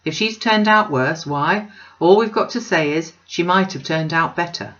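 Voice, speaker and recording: Woman playing a pompous, blustering rich gentleman. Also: lowish voice, moderate pace, dull audio, close to an everyday voice. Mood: dismissive. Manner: pompous, dismissive, emphatic. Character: blustering, pompous, self-satisfied wealthy older man.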